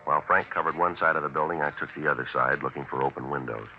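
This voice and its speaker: An actor playing a businesslike, unflappable police detective sergeant in a 1950s radio procedural, terse and matter-of-fact. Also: male voice, extremely low pitch, fast talker. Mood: flat narration. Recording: noisy, dull 1950s radio recording.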